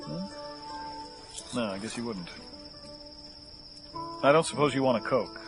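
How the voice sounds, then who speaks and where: A menacing, unhinged fugitive for a horror radio play. His delivery is very animated, swinging widely in pitch, with mannered, mock-courteous phrasing. Music plays clearly behind him. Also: measured pace. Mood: rambling, sardonic. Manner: mock-polite, florid, mannered speech. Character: deranged, theatrical, menacing fugitive gunman quoting poetry. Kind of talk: audio horror drama acting.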